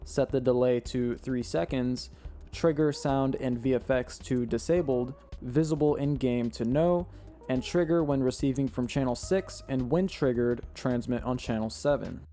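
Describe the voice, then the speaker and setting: A young man narrates a game-building tutorial, his voice a little hoarse as if he's losing it. The tone is mellow and dark, the delivery steady and instructional as he reads settings one after another. Faint music plays quietly behind him. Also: medium pitch, moderate pace. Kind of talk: step-by-step tutorial voice-over.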